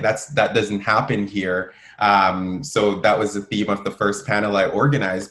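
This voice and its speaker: A young man from Jamaica, answering interview questions earnestly with frequent pauses and fillers. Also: deep voice. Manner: earnest, steady, with many um fillers. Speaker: guest. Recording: echoey room.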